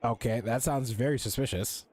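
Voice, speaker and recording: A man's voice: medium pitch, normal speed, a little mellow. A man giving casual, off-the-cuff commentary as he plays through a story game. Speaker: gamer reacting to a story quest. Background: game music.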